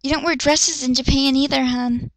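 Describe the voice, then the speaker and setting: A woman's voice: high-pitched, steady tone, moderate pace, fairly bright tone, dry recording, low-quality recording. A woman doing a dramatic reading of fan fiction, with sarcastic, exasperated, foul-mouthed commentary.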